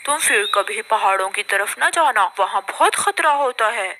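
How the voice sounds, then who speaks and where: A woman voicing a scheming, boastful male crow in a cartoon, pitched low for the part. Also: lively intonation, moderate pace, sharp tone. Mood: sly. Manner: boastful, sly. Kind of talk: cartoon voice acting.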